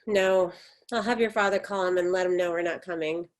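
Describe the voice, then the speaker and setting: A woman's voice: lowish voice, steady tone, brisk, natural voice. A woman playing a stoic, controlling middle-aged American mother in a play reading, speaking in a firm, insistent way. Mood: brisk, controlled. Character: stoic, controlling 48-year-old American mother, curt and short-tempered.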